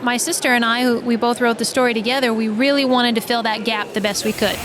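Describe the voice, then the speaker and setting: A woman's voice: on the high side, steady tone, quick pace, music in the background. A woman talking casually as an interview guest, with noise around her. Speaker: podcast guest, audio drama writer. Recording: clear background noise.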